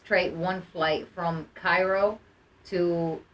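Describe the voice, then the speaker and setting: A woman's voice: lowish voice, fairly even intonation, drawn-out words, highs cut off. A young woman from Egypt, chatting casually as a podcast guest and leaning on fillers like "like" and "you know.". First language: Arabic. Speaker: guest, musical artist. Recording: roomy sound, mic at a distance, faint noise.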